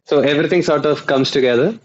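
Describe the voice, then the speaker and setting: A man, a guest journalist explaining at length with frequent pauses. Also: on the high side, muffled recording. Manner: explanatory, with many uh fillers and restarts. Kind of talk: conversational interview.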